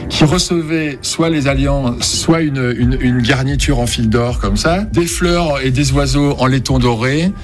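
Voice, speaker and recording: A man's voice: medium pitch, a little slow, hardly any pauses, soft background music. A man, an antique dealer, explaining an old object with enthusiasm in a casual radio chat.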